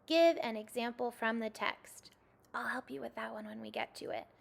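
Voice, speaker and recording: A woman's voice: on the high side, normal speed, creaky. A young woman teaching second graders a recorded reading lesson, giving patient step-by-step instructions.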